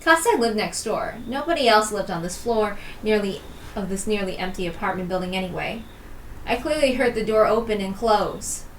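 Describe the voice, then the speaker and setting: A woman's voice: medium pitch, animated, moderate pace. A woman reading a story aloud on a stream, casual and a little self-conscious, recorded in a room. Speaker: reader and streamer. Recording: roomy, distant mic with faint noise.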